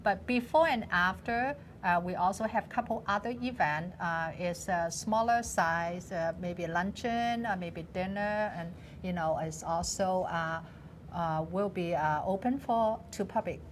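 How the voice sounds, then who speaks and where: A woman from Hong Kong with a Hong Kong accent, her native tongue Cantonese, speaking as an interview guest in a TV studio, hesitant with many fillers and quick to laugh. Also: on the low side, a little hiss or hum. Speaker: guest, business association president.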